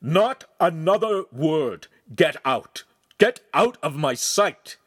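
A man voicing an enraged middle-aged master craftsman who shouts scornfully at his apprentice. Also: fairly high pitch, lively intonation, slow, breathy edge, dry recording, clean studio sound, voice pushed up, heavily acted. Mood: bellowing.

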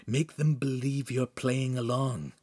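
Man playing a hushed, sly guide. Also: on the low side, sing-song, measured pace, slightly rough, exaggerated voice. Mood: conspiratorial. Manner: hushed, knowing, instructive. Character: sly, whispering guide coaching teenagers, dryly scheming.

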